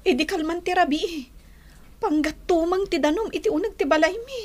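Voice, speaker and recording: An actress playing a poor, fretful village wife, plaintive and worried, in an Ilocano radio drama. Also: female voice, very high voice, fairly quick. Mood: worried, complaining.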